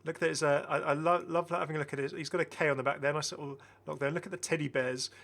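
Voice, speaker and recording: A man's voice: on the high side, fairly even intonation, quick pace, vocal fry, fairly bright tone. A man giving casual, enthusiastic game commentary as a chatty, rambling video host.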